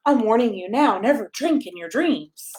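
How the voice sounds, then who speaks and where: A woman's voice: on the high side, lively intonation, normal speed, a little mellow, a little hiss or hum. A woman reading a rhyming children's picture book aloud as a storyteller, in a playful, sing-song way with emphasis on the rhymes.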